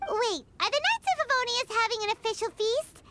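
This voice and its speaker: A woman voicing a tiny, childlike, chirpy floating sidekick in an anime game, squeaky and exclamatory, with music under her. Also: lively intonation, a little fast, very noisy. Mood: surprised. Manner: refers to self in third person, exclamatory.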